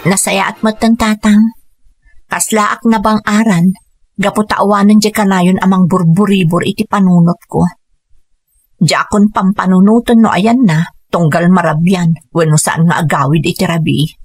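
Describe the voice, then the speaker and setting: A woman playing an abandoned mother in a radio drama, speaking in a steady, earnest way. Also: mid-range voice, normal speed, breathy edge, no room sound, close to an everyday voice. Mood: resolute. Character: abandoned mother, resolute and weary.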